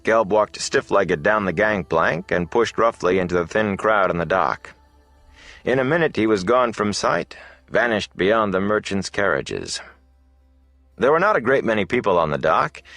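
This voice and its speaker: Low-pitched, expressive male audiobook narrator. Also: dry recording. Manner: measured, dramatic storytelling. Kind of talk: scripted fantasy novel narration.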